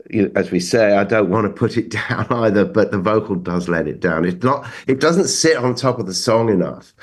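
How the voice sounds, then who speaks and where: Low-voiced man, halting song critique. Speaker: music producer judging songs on a panel. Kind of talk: casual chat, song critique.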